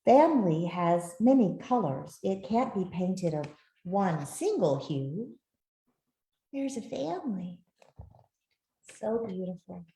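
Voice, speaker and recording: Slow, mellow woman reading to children. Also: on the low side. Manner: gentle, expressive, with soft asides to listeners. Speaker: author reading her own picture book. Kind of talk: read-aloud storytelling for children. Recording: roomy, echoey sound.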